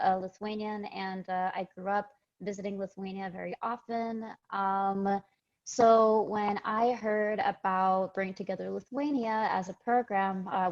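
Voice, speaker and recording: A young woman from Chicago speaking on a panel over a video call, hesitant and halting. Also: mid-range voice. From Chicago, United States. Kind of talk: casual panel talk. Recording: muffled lo-fi call audio.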